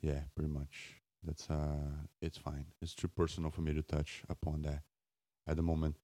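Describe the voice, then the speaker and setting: A man hosting a solo podcast off the cuff in a raspy, just-woken voice, halting and searching for words with wry self-deprecation. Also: extremely low pitch, monotone, warm tone, no room sound. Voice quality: raspy morning voice. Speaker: podcast host.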